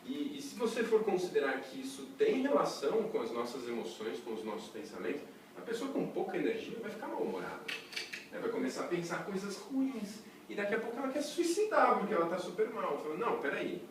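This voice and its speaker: Brazilian workshop teacher, male, mid pitch, chatty and animated; distant mic, strong room echo. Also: fast, a little hiss or hum. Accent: Brazilian Portuguese. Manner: chatty, lively, asks the class rhetorical questions. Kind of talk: casual workshop lecture to a small group. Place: workshop room with a small audience.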